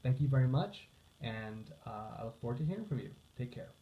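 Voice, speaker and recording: A man's voice: medium pitch, animated, a little fast, noisy background. A man giving an earnest, explanatory informational talk as a counselor and coach, stressing key phrases as he goes. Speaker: psychotherapist, social worker and coach. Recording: echoey room, slightly dull sound.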